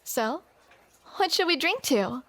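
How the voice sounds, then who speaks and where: A woman's voice: sits high, sing-song, takes it slowly, a bit bright. A woman performing a scripted romantic audio roleplay, with playful, teasing banter, close to the microphone.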